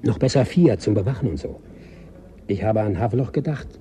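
An actor playing an eager, secretive gold digger in a 1980s German radio drama, speaking confidingly. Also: male voice, fairly low pitch, fairly quick, warm tone, a little hiss or hum, lightly acted.

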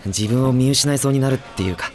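A man's voice: medium pitch, quick pace, a little mellow, soft background music, lightly acted. A man playing a modest, earnest young student film director in an anime comedy drama, speaking self-effacingly. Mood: reflective.

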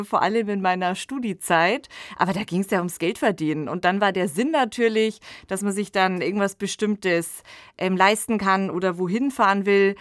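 A woman's voice: medium pitch, a bit bright, no room sound, clean studio sound. A woman co-hosting a podcast, explaining things in a conversational style. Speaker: podcast co-host.

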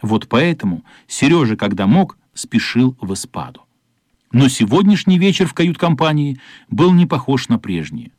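A man narrating a radio play in a scripted storybook style, with a husky voice. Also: mid-range voice, big pitch swings, normal speed, a little mellow, dry recording.

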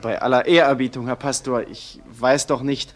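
A man's voice: medium pitch, steady tone, normal speed, lightly acted. Man playing an earnest, hesitant young tutor. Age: young adult. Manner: hesitant, deferential. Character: earnest, timid young male tutor and theology candidate. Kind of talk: radio play acting.

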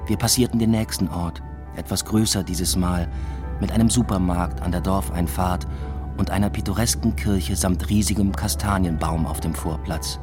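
A man's voice: low voice, fairly even intonation, normal speed, lightly acted. An actor playing a weary academic and family man, narrating a radio drama in an introspective, understated way. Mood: contemplative. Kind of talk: scripted first-person radio drama narration with dialogue. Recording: music behind the voice. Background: music.

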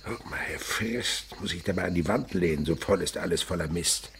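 A man's voice: deep voice, normal speed, fairly bright tone, a little hiss or hum. An actor playing an enthusiastic rural insect researcher and father, lecturing children in a rhapsodic, theatrical way in a radio drama. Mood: tender wonder. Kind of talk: German radio drama acting.

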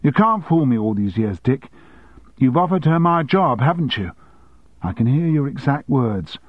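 A man voicing an indignant, sharp-tongued longtime woman secretary with cutting, sarcastic retorts, on a dry, slightly dull recording. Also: mid-range voice, very animated, moderate pace, no room sound, voice pushed up.

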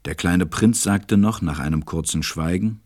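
A man's voice: low voice, moderate pace, a little mellow, dry recording, studio quality. A man narrating and acting in an audio drama as a tender, melancholy stranded pilot. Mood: tense narration.

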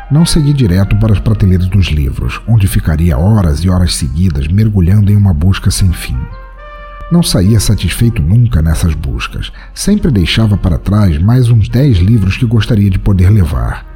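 A man's voice: deep voice, mellow. A Brazilian man playing a world-weary, broke bohemian writer, narrating an audio drama in a reflective, wistful manner. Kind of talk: first-person literary audio drama narration.

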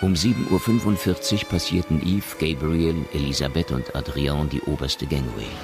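An actor narrating a science fiction radio drama as a sober storyteller. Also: male voice, a bass voice, moderate pace, over music. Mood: sober, measured.

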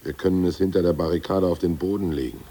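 A man's voice: low voice, fairly even intonation, fast, dark tone, long reverb tail. An actor playing an ousted male Politburo member, blunt and stubborn, in a 1980s radio play. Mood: brisk.

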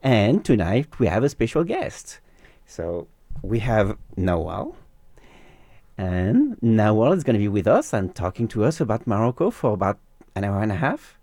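A man's voice: lowish voice, sing-song, normal speed, darkish tone, no room sound, studio quality. A man co-hosting a community radio show, chatting casually and laughing often. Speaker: radio co-host.

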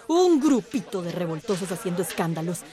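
A woman's voice: lowish voice, big pitch swings, brisk, warm tone. An actress playing a haughty, authoritarian woman mayor in a radio drama, imperious and scornful. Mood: irritated, imperious.

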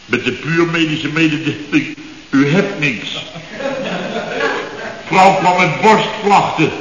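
An actor playing a grumbling, exasperated middle-aged contractor who rants sarcastically in clipped half-sentences in a radio comedy. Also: male voice, slow, fairly bright tone, echoey room, big-room sound. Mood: bitterly sarcastic. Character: grumbling, exasperated male building contractor, long-winded storyteller. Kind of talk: 1970s radio comedy acting. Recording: boomy, muffled old radio recording.

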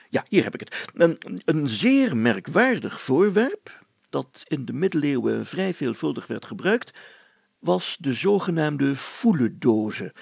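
Guy doing a fussy old mayor for a kids story, gravelly, stammers a lot, very self-important. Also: on the high side, no echo, full character voice. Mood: pompous, flustered. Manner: stammering, hesitant, pompous, over-articulated. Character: fussy, flustered, pompous older male mayor reading aloud. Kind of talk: children's audio story character voice.

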